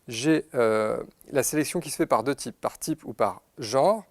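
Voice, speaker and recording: A man giving an unscripted, methodical product demonstration, pausing to find his words as he explains each step. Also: medium pitch, no room sound. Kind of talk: unscripted instructional product demo. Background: synthesizer demo sounds.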